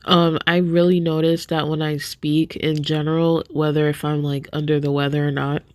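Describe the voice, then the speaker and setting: A woman's voice: low-pitched, level tone, moderate pace, dry recording. A congested, sniffly woman hosting a podcast, talking earnestly and casually to her viewers. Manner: sniffly, soft-spoken, earnest. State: congested, stuffed-up nose. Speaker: podcast host.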